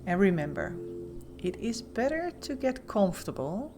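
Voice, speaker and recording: A woman leading a guided meditation as an instructor, giving gentle, soothing, softly spoken instructions with pauses. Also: medium pitch, sing-song, music in the background.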